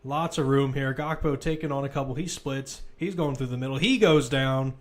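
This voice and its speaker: Male, football commentator, live play-by-play, mid-pitched voice, clipped running phrases, present-tense description. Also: normal speed.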